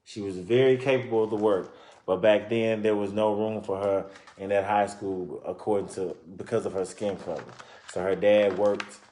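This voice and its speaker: A man reading a children's book aloud and pausing to explain and comment informally to his listeners. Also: on the low side, steady tone, mellow. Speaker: reader presenting a children's book. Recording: roomy, echoing sound.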